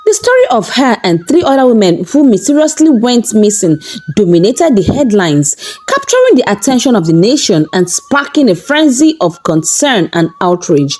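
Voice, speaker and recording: Animated high-voiced woman telling a dramatic story. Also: normal speed. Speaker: storyteller and channel narrator. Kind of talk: dramatic scripted folktale narration.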